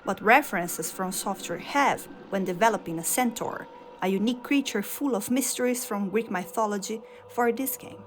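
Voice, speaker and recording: A woman whose native language is Portuguese, narrating game lore in a measured, scripted storytelling style, phrase by phrase. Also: medium pitch, a little hiss or hum.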